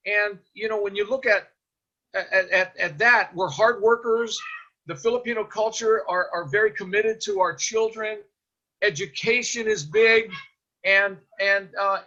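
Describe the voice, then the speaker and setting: A man's voice: sits high, bright, forceful tone. An American man in his fifties giving earnest impromptu remarks as a panelist in an online meeting, often repeating words as he goes. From United States. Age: 50s. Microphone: video call. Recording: dull, lo-fi video call audio.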